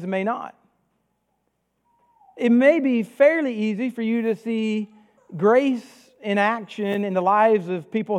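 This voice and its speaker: A man with a Southern US accent preaching a sermon, reading scripture and praying in an earnest, measured way. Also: high-pitched, darkish tone.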